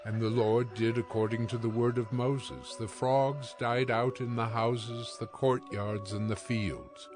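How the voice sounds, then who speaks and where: A male narrator reads scripture very slowly at an average pitch. His storytelling is solemn and measured, as in an audio Bible, with music clearly underneath. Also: fairly even intonation.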